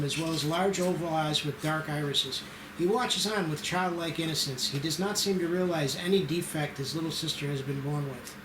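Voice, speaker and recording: Higher-pitched man narrating in an echoey room. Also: background noise. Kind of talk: scripted screenplay narration at table read. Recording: echoey room with background music.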